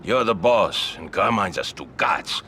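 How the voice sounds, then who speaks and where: An actor playing a crude, sly old-guard mobster in a video game, blunt and needling. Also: male voice, low-pitched, very animated, unhurried, bright, forceful tone, slight background noise, exaggerated voice. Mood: scornful. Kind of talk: video game cutscene acting.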